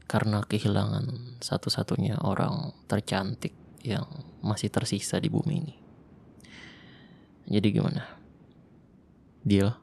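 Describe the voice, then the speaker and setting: Man playing a gravelly, protective zombie-apocalypse survivor. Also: on the low side, flat delivery, a little slow, long pauses, a little hiss or hum. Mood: gentle teasing. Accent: Jakarta colloquial. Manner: soft, teasing, reassuring. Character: tough male ex-special-forces zombie survivor, protective, flirty. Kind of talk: ASMR roleplay.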